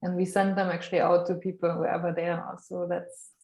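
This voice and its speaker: A woman's voice: lowish voice, flat delivery, fairly quick, a little mellow, a little hiss or hum. Chatty woman host in an echoey room. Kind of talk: casual chat. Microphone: video call. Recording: echoey room over video call.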